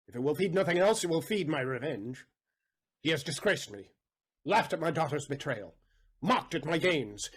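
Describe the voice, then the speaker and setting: An actor playing a bitter, vengeful moneylender and betrayed father in a Shakespearean audio play, with a husky voice and theatrical, emphatic delivery. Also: a little high, exaggerated voice, poor audio. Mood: vengeful scorn. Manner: theatrical, emphatic, swinging between hushed and loud.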